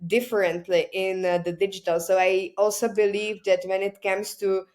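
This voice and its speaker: A woman with a Czech accent, hosting an interview, speaking English as a second language with many "uh" pauses while searching for words. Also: mid-range voice, level tone, a little mellow. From Czech Republic. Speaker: podcast host. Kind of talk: casual interview chat.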